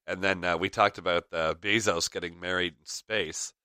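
Deep, bright-toned man, slightly raspy. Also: steady tone, normal speed, no echo, studio quality. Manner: rambling, frequent fillers, chuckles. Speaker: podcast host. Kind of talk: casual rambling chat.

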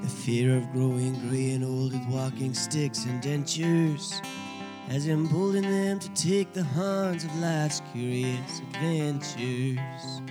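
A man's voice: mid-range voice, steady tone, slow. Man reciting rhymes over strummed guitar. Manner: reads rhyming verse, then breaks off swearing. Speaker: intro narrator. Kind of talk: rhyming spoken intro over guitar. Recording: guitar music behind.

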